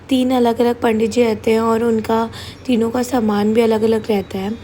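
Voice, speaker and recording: A young woman with a slightly hoarse, mellow voice narrates a vlog voice-over in a relaxed, chatty way. Her pitch stays fairly flat. Faint noise sits behind her. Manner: casual, mixing Hindi and English. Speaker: vlogger. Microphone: voice-over recorded separately.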